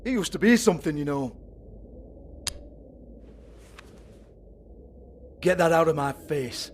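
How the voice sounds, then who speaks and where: Man acting sneering British sleazeball, high and swooping. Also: lively intonation, a little fast, a little hiss or hum, natural voice. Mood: scornful, sarcastic. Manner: sneering, taunting, swearing. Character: sleazy, foul-mouthed strip club owner and pimp, mocking, volatile. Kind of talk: video game voice acting.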